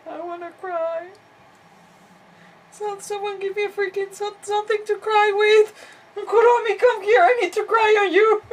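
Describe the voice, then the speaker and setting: A woman's voice: very high voice, fairly even intonation, moderate pace, recorded from a distance. A woman streaming a video game with casual, rambling, emotive commentary. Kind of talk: casual live gameplay commentary. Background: game music.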